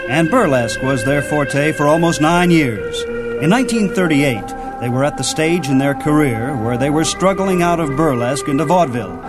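An American man narrates as a radio host in a fairly high voice. Clear music plays behind his scripted delivery. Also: low-quality recording.

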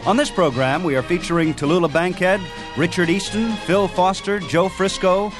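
Music plays behind a male radio host. His voice sits a little high as he reads a scripted introduction to his program. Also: steady tone, normal speed.

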